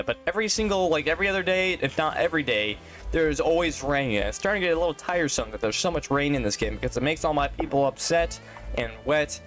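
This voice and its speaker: A man's voice: mid-range voice, a bit bright. A congested man giving casual, rambling commentary as he plays a game, thinking aloud and often unsure. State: congested from allergies. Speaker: gaming channel host.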